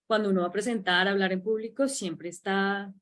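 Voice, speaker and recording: A woman's voice: medium pitch, steady tone, brisk, a bit bright. A Colombian woman with a Colombian accent hosting an interview, coaching her guest with frequent tag questions. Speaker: host. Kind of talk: conversational interview.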